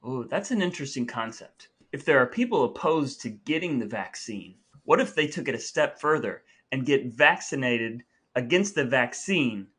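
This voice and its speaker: Male podcast host, bright voice, wordy comic delivery with a mock-grand streak. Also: mid-range voice, animated, moderate pace, hardly any pauses, breathy edge. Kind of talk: casual comic banter, partly dramatic reading of texts.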